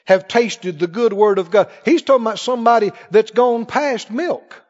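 Male preacher, high-pitched voice, emphatic Bible teacher firing short questions at the congregation; long church reverb. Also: moderate pace. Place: large church hall, before a congregation. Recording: muffled, echoing large hall.

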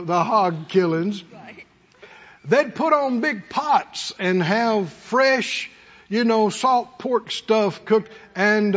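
A middle-aged or older man preaches in a folksy, conversational way, telling homely stories and putting rhetorical questions to his congregation. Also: high-pitched. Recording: muffled hall sound with long reverb.

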